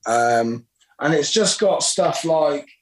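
A man, a musician chatting casually in an interview in a relaxed, rambling, self-deprecating way. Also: fairly high pitch, slow pace. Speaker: musician guest.

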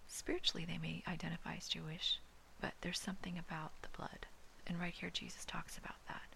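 A woman from Hawaii who whispers throughout, slow and hushed, as she reads Scripture aloud and then comments on it. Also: lowish voice, flat delivery, vocal fry, low-quality recording. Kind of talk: whispered Bible reading and commentary.

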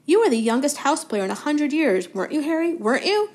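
A woman voicing an excitable young schoolboy fan who gushes breathless questions in a piping voice. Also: high voice, fast talker, pitched above the performer's own voice. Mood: eager.

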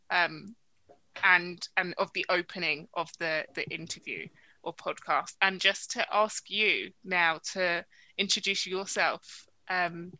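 A woman interviewing on a podcast with a bright, sharp tone. She speaks earnestly and haltingly, often repeating words as she frames her questions. Her voice sounds dry and close, with no room echo. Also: on the low side.

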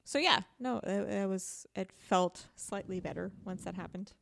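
Woman with creaky voice, casual chat. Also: medium pitch, moderate pace, fairly bright tone, a little hiss or hum. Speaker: podcast co-host.

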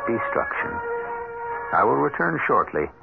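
A man hosting a radio drama, giving scripted narration in a measured, formal and theatrical manner. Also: mid-range voice, big pitch swings, gravelly. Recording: tinny old radio broadcast with music. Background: music.

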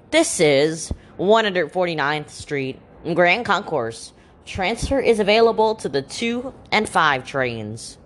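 A woman delivers scripted transit announcements in a slightly low voice. She speaks slowly and formally, with pitch that rises and falls widely across each phrase, and sets listed items apart with clear pauses. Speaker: subway train announcer.

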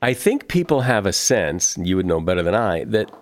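Low-voiced male podcast host. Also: big pitch swings, fairly quick. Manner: casual, repeats words as he starts sentences. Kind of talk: interview conversation.

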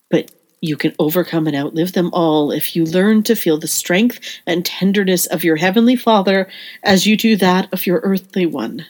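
A woman playing a gentle, devout, wise mother in an audio play, speaking in an earnest and measured way. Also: fairly low pitch. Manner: earnest, measured, tender counsel. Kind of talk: audio play acting of a period novel.

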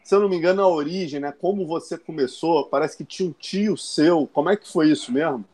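Brazilian guy hosting, journalist, chatty questions, slightly high voice. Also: fast, a little mellow. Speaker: journalist host. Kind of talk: interview.

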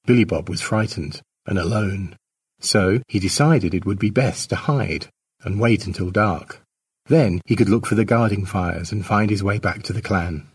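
A man's voice: on the low side, normal speed, husky. A man reading his own short story as a scripted narration.

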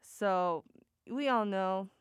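A young woman from Puerto Rico with a deep alto voice, hosting a casual podcast chat and rambling eagerly with lots of "like" fillers. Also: drawn-out words, clear, resonant tone, dry recording, clean studio sound. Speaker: podcast host.

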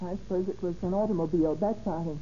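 Actress as scheming murderous lady, old radio melodrama, very mellow dark voice, commanding, dull noisy vintage recording. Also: on the low side, rapid-fire, natural voice. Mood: feigned casual. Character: cold, scheming murderous woman renting a house. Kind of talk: 1940s old-time radio drama acting.

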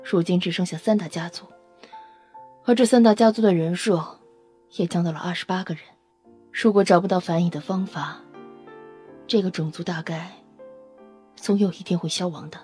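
Audio drama casting: solemn, wistful half-blood woman, voiced by an actress; soft mellow tone, measured expository delivery, music bed. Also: lowish voice, natural voice. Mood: matter-of-fact lecturing. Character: dark-haired half-blood alien beauty, solemn, wistful expounder. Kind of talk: Chinese audio drama acting. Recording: music under the voice. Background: background music.

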